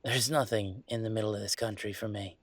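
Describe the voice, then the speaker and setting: A man playing a young werewolf boyfriend in an intimate audio roleplay, talking in a halting, rambling, conversational way with a gravelly creak. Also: fairly low pitch, fairly even intonation, dry recording, lightly acted. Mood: pensive, wry. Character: young male werewolf boyfriend, hesitant, affectionate, weighing a move.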